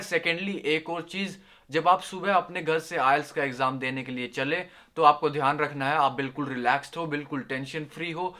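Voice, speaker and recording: Bright-voiced young man giving exam tips. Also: a little high. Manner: friendly, addresses viewers as "yaar" and "doston", mixes English phrases. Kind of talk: casual spoken tutorial.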